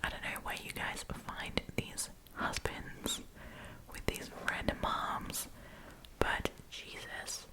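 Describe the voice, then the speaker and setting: Female ASMR storytime host: constant breathy whisper, informal and opinionated, reads aloud and comments casually. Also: slow pace, poor audio. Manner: chatty, opinionated, informal with swearing. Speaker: host reading Reddit stories.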